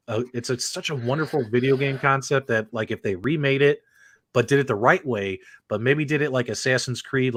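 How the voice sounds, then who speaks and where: A man's voice: mid-range voice, a bit bright, dry recording. A man hosting a gaming talk livestream, chatting casually with a panel and viewers.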